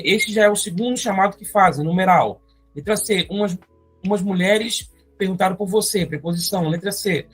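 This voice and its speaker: A teacher with a Brazilian Portuguese accent, going step by step through an exam-prep grammar lesson and often adding quick check-in tags. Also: mid-range voice, husky. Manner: explains step by step, often adding tag questions.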